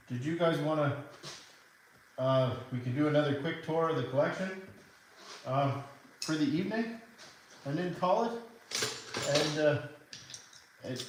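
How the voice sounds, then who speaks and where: A man's voice: fairly high pitch, darkish tone, roomy sound, slight background noise, low-quality recording. A man with a Canadian accent, hosting a casual live stream from his RC hobby workshop and rambling to his viewers with frequent restarts. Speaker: livestream host.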